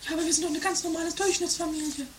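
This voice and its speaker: Someone playing a woman: very shrill, fussy mother. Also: fairly even intonation, racing. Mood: worried. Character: fussy, anxious middle-class mother and wife. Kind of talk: improvised amateur trash radio comedy acting. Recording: noisy 1980s home-made radio play recording.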